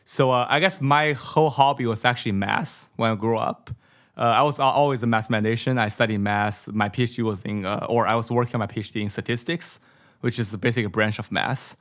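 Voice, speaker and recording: VC guest, man in his 30s, Mandarin Chinese accent, mid pitch, repeats words, keeps checking "right?". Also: a little fast, fairly bright tone. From China. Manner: tags sentences with "right?", many repeats. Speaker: venture capital guest. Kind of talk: casual podcast interview. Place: small studio room, brick wall. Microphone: studio mic on boom, headphones.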